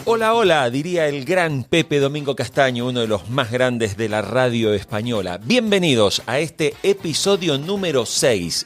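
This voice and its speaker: Male podcast host and interviewer, mid-range voice, conversational delivery that speaks straight to the listener. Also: medium pitch.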